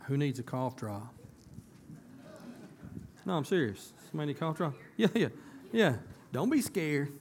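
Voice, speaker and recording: A hoarse man, a pastor talking to his congregation in a casual, folksy way that turns emphatic. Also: on the high side, sing-song, moderate pace, pauses often, a little mellow. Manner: folksy, conversational, rising to emphatic. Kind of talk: casual church announcements and sermon. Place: church sanctuary.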